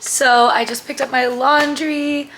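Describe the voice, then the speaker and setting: A woman's voice: sits high, steady tone, a little slow, over loud music, low-quality recording. A woman in her twenties chatting casually to the camera as a lifestyle vlogger, upbeat and friendly, with lots of "like" and "um". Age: 20s. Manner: chatty, filler-heavy with frequent "like" and "um". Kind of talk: casual vlog chat to camera.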